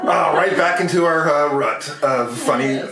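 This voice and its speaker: A man's voice: on the high side, measured pace, over a noisy crowd. A man co-hosting a podcast, chatting casually and jokily in a rambling way over a beer tasting. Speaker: podcast co-host. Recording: roomy, distant mic, clear background noise.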